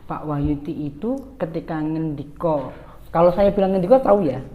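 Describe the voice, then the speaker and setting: A woman's voice: low-pitched, a little fast, roomy sound, narrow, tinny audio. A woman hosting a casual interview podcast in a playful manner, often laughing. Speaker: podcast host.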